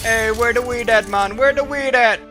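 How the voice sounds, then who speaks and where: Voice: medium pitch, moderate pace, over music, natural voice. A voice actor playing a whiny stoner teenage boy who keeps begging for weed. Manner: whiny, repetitive pleading.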